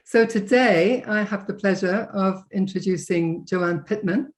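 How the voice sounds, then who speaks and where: A woman's voice: mid-range voice, measured pace. A woman hosting an interview, welcoming viewers and introducing a guest with many hesitant fillers. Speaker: interview host.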